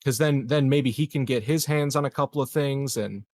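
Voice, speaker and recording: A man's voice: medium pitch, normal speed, darkish tone, no room sound. A man plays in a tabletop roleplaying game, speaking in a middle register. His talk is casual and exploratory as he reasons through his argument. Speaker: player.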